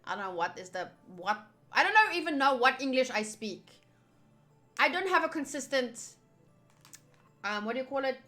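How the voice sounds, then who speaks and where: Young girl streamer reading a visual novel, playful and casual, slightly high voice, game music behind. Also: sing-song, moderate pace, pauses often, sharp tone. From United States. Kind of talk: visual novel playthrough, reading aloud and commenting.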